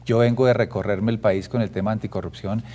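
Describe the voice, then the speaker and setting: A man's voice: fairly low pitch, steady tone, a little fast, dark tone. A man arguing his case in a heated panel debate, insistent and repeating his phrases. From Colombia. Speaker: guest, director of an anti-corruption network.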